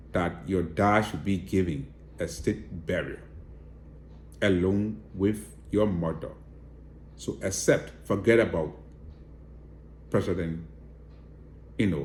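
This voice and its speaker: A man's voice: low-pitched, roomy sound, a little hiss or hum. A man with a Liberian accent giving direct, emphatic political commentary and appealing to viewers. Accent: Liberian English.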